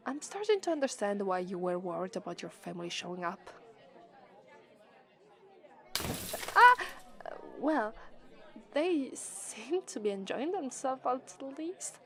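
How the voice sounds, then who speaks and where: A woman's voice: on the high side, very animated, moderate pace, some chatter behind. A woman with an Italian accent voicing an affectionate, cheerful young demon princess bride in an ASMR roleplay. She giggles often and gets flustered easily. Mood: gracious. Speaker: voice actress.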